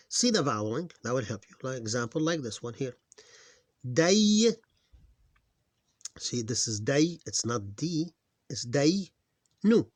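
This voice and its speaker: A man's voice: mid-range voice, dry recording, studio quality. A man teaching a phonics lesson, patiently spelling out syllables and correcting himself, his voice tiring. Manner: patient, repeats sounds slowly, self-correcting. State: voice getting tired. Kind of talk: phonics lesson over on-screen writing.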